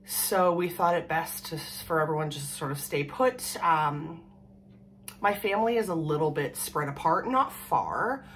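Woman, low voice, hoarse, chatty and rambling, home vlog, faint room hum. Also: animated, measured pace. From Canada. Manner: rambling, chatty, laughs often. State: hoarse from a cold. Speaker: vlogger. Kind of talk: casual chat to camera. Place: small room at home, indoors. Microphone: camera in front at desk distance.

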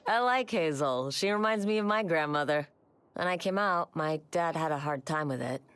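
A woman's voice: low voice, animated, moderate pace, fairly bright tone, dry recording. A woman playing a wistful, sincere townsperson in a video game.

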